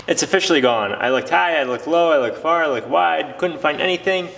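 A man's voice: on the high side, fairly quick, gravelly, over music. A young man in his twenties vlogging from his garage workshop, upbeat and jokey, with a motivational streak. He talks into a handheld camera held close. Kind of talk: energetic workshop vlog. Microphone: handheld camera, close and moving.

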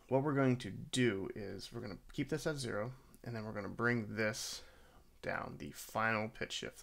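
A man narrating a software tutorial in a casual, halting, self-deprecating way. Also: fairly low pitch, gravelly. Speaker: tutorial host. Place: voice-over for a screen recording.

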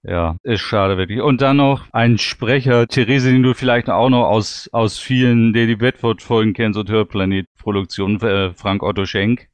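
A man speaks as a podcast panelist in a casual, reminiscing way. His voice sits a little low and is smooth and resonant. The sound is dry and close. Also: steady tone, moderate pace, hardly any pauses, no room sound.

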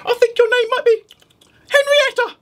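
Tiny eager storybook rabbit, very high squeaky voice, breathless asks, voiced by the storyteller. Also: moderate pace. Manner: squeaky, excited, breathless asking.

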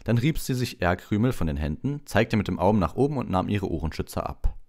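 A man narrating an audiobook in a relaxed bedtime-story style. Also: fairly low pitch, a little fast. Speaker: audiobook reader.

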